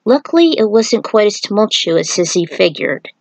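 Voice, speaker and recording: A woman's voice: medium pitch, sing-song, normal speed, a little mellow, no room sound, poor audio. A woman narrating a story as its author, with casual asides. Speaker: fan fiction author and reader.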